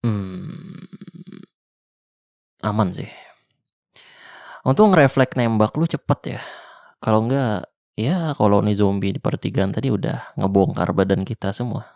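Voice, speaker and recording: Man playing a gravelly, protective zombie-apocalypse survivor. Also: on the low side, unhurried, pauses often, no echo, clean studio sound. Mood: gentle teasing. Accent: Jakarta colloquial. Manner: soft, teasing, reassuring. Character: tough male ex-special-forces zombie survivor, protective, flirty. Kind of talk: ASMR roleplay.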